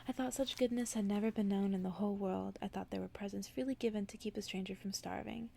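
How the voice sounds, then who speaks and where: A woman softly reading a bedtime story in an ASMR style, hushed and gentle, slipping into whispers. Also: medium pitch, fairly even intonation, fast talker, gravelly, a little hiss or hum. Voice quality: soft, partly whispered. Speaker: ASMR narrator.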